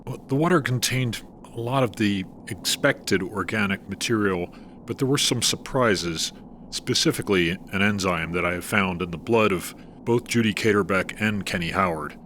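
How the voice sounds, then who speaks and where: A man playing a measured, guarded scientist. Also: fairly low pitch, a bit bright, slight background noise, lightly acted. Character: cool, guarded male scientist studying the marsh. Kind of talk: audio drama acting.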